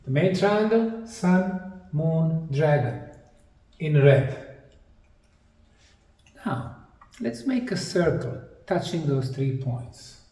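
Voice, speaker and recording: A man presents a lecture in a mellow, slightly high voice. He goes very slowly, setting out short, emphatic phrases with deliberate pauses. The mic sounds a little distant. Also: lively intonation. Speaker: presenter, anthropologist. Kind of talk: slide lecture presentation on sacred geometry. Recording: distant mic in an echoey room.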